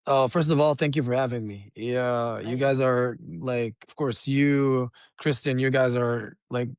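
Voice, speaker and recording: A man's voice: medium pitch, measured pace, clear, resonant tone, dry recording. Young guy from egypt telling stories on a podcast, lots of like and restarts, dry sound. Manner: chatty, many restarts and "like", animated storytelling. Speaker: guest. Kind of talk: interview.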